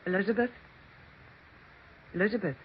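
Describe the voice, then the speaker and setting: An actress playing a solemn, insinuating middle-aged sister-in-law in a 1940s radio drama, measured and insistent. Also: female voice, mid-range voice, lightly acted. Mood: probing. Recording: muffled, faintly noisy 1940s radio.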